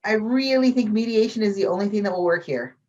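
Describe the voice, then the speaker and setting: A woman's voice: medium pitch, fast talker, a little mellow, close to an everyday voice. A woman at a script table read playing an earnest, persuasive, idealistic peacemaker, on a poor microphone. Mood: earnest pleading. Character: earnest, idealistic peacemaker who pities the shark. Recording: dull, distant lo-fi mic.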